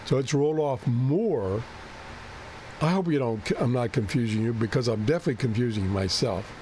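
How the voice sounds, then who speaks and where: A man who is losing his voice and keeps clearing his throat talks through a radio setup, thinking aloud and correcting himself as he goes. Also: mid-range voice, normal speed, dark tone, a little hiss or hum. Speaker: ham radio video host. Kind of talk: unscripted screen-share walkthrough.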